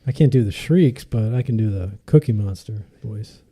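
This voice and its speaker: A man's voice: lowish voice, normal speed, warm tone, breathy edge. A man chatting casually as the host of a podcast. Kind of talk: casual chat.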